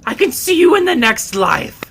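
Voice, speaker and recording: A man voicing a frantic, fanatical lute player in a fantasy tale, shouting out commands. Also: very high voice, very animated, moderate pace, gravelly, a little hiss or hum, pitched above the performer's own voice, heavily acted. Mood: desperate, fervent.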